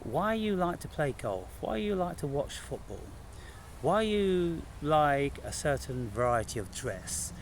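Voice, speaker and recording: Slow-talking man over clear background noise. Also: a little high, very animated. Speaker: spiritual vlogger and author. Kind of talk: unscripted spiritual monologue to camera.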